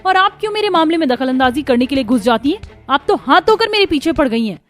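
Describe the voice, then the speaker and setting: A woman acts out a headstrong, lovestruck young sister-in-law in a cartoon soap. The voice sits high and emotional, peppered with English phrases. Faint music plays underneath. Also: expressive, quick pace, fairly bright tone. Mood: irritated, defiant. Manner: snappy, drops English phrases into her talk. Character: young sister-in-law, hot-headed, defiant, lovestruck college-age girl. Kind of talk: Hindi cartoon family drama.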